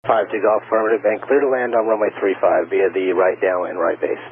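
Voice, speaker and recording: A man's voice: sits low, little pitch movement, brisk, phone-quality sound. An American man working as an air traffic controller, giving informal, reassuring instructions over the radio. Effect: radio transmission.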